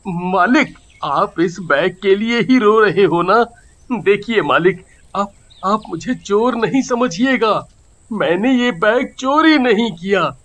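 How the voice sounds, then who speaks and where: A man playing a humble, honest poor father in a moral story cartoon, speaking earnestly and pleadingly with halting repeats. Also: sits high, a bit bright, heavily acted.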